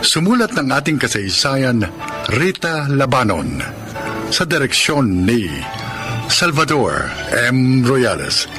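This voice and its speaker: A man announcing and narrating a radio drama in a measured, formal scripted style. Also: mid-range voice, big pitch swings. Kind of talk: scripted narration, credit reading. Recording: music behind the voice.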